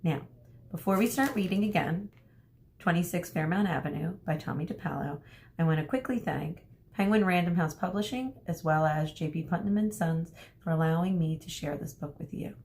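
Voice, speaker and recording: A woman speaking as an elementary school teacher, reading a story aloud to children and pausing to explain things. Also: on the low side, a little mellow, slight background noise. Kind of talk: read-aloud lesson for children.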